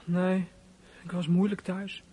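An actor playing a hurt, defiant thirteen-year-old boy thief in a radio play, confiding in a husky, breathy, colloquial way. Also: medium pitch, level tone, slow pace, mellow. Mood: subdued. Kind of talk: radio play acting, confiding first-person narration.

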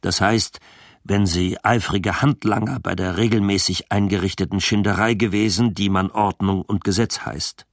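An actor playing a bitter revolutionary agitator, declaiming a political pamphlet rhetorically in a musical audio drama. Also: male voice, on the low side, gravelly, slightly rough, exaggerated voice. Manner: deliberate, rhetorical, shifting from spoken declamation to drawn-out chant. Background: music with brass, trombone.

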